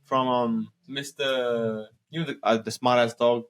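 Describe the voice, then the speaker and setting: A man's voice: medium pitch, fairly even intonation, unhurried, a crowd in the background. Joking young man in casual chat. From Australia. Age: young adult. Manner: jokey, rambling, slangy. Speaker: podcast co-host.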